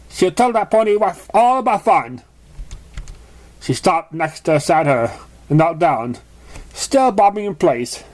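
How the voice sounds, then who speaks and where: A man reading a story aloud as an amateur narrator, stumbling over words now and then. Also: high voice. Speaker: amateur reader of fan fiction.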